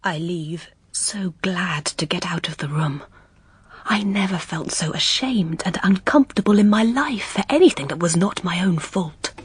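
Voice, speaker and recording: An actress playing a reserved young English governess, confiding her thoughts in breathy, near-whispered narration for a period audio drama. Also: on the low side, moderate pace. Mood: quiet indignation.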